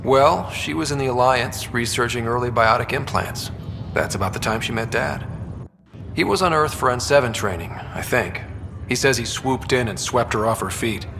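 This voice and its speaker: A man's voice: medium pitch, moderate pace, close to an everyday voice. A man playing a wry, wistful young soldier in a sci-fi video game, speaking in a reflective, conversational way. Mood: reminiscing. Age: young adult. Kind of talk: naturalistic video game dialogue acting. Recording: reverberant room, loud ambient hum behind.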